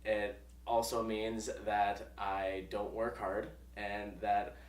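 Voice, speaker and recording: A man's voice: on the low side, little pitch movement, slow pace, reverberant, distant mic. Wry young man in his twenties. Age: 20s. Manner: wry, self-deprecating. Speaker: interviewee. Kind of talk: church video interview.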